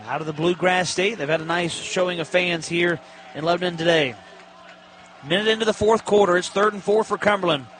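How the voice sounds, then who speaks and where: A high-voiced man calls a college football game on the radio. He describes the action in clipped, detailed phrases. Faint stadium noise sits behind his voice. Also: fairly even intonation, a little fast, fairly bright tone. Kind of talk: live sports play-by-play commentary. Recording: live broadcast with stadium background noise. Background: stadium ambience, faint noise.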